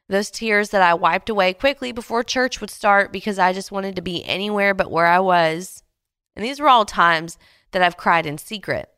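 Late-20s woman doing a faith podcast, kinda stuffy from a cold, soft and earnest, smooth voice. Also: on the low side, no room sound, studio quality. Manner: gentle and earnest, with soft pauses and fillers. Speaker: podcast host. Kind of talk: devotional podcast talk. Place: Houston, Texas.

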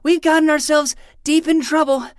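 High-voiced, theatrical boy detective played by an actor. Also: steady tone, moderate pace, no room sound, clean studio sound, natural voice. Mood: pleading. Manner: theatrical, self-narrating in third person. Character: dramatic twelve-year-old boy playing hard-boiled private detective. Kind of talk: fiction podcast acting.

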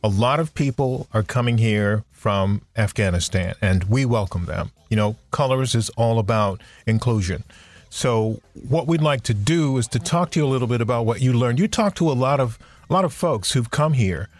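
A man hosting a radio talk show on race, introducing his guest in a measured, formal way. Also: mid-range voice, expressive, no echo. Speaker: podcast host.

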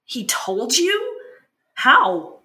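A woman's voice: sits high, huge pitch swings, drawn-out words, bright, forceful tone, heavily acted. An actress playing an eager, curious, sympathetic woman who asks questions and reacts in a scripted fiction podcast. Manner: inquisitive, reactive. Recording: roomy echo.

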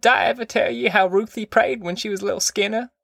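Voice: mid-range voice, steady tone, fast, gravelly, fairly bright tone, no room sound, clean studio sound, pitched above the performer's own voice. A performer voicing a sulky, bossy elderly grandmother from a novel, with querulous, folksy speech. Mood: complaining. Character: sulky, fierce, bossy old country grandmother.

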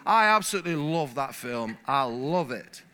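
A man from England preaching a sermon in church, animated, repeating words and getting louder as he warms up. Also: mid-range voice, normal speed. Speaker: pastor. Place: church hall.

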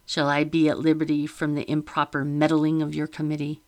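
A woman's voice: sits low, completely flat delivery, brisk. A woman reading an audiobook and voicing a weary, defiant merchant husband who speaks firmly and formally. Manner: firm and formal.

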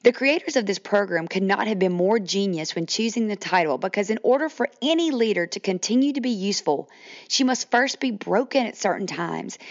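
A Southern woman in her thirties hosts her podcast, talking straight to listeners in a chatty, friendly way. Her voice is croaky from allergies. The recording sounds a little dull. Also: medium pitch. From Mississippi, US. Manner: chatty, says "y'all", addresses listeners directly. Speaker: podcast host. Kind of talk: casual podcast monologue.

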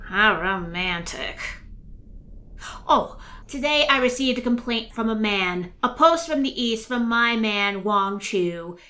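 A woman playing a sharp, mocking courtier. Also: fairly high pitch, animated, takes it slowly, exaggerated voice. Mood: sardonic. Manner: mocking, dry, cutting. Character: sharp-tongued woman courtier Marigold, sardonic and bold. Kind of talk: audio drama acting.

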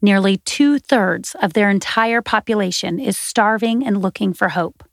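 A woman hosting a ministry podcast, reading scripted narration in an earnest, steady way. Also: mid-range voice, moderate pace, gravelly, dry recording, clean studio sound. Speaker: podcast host.

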